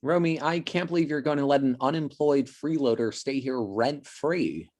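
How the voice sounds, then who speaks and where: Woman playing a husky, snarky kid. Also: rumbling low, normal speed, a little mellow. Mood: snarky, blunt. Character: snarky sibling, blunt and easily wounded. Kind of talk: table read of a comedy pilot script. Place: remote video call read.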